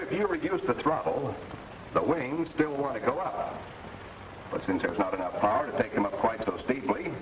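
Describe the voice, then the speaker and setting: An American man narrating a scripted 1940s instructional film in a clear, didactic manner with measured emphasis, like an instructor. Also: mid-range voice. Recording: noisy 1940s film soundtrack, distant.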